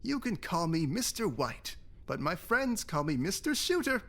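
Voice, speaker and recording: A man's voice: high-pitched, very animated, moderate pace, gravelly, a bit bright, heavily acted. An actor playing a creepy, falsely genteel male photography teacher in a visual novel, speaking in a theatrical, overly polite way. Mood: smooth, coaxing. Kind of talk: scripted visual novel voice acting.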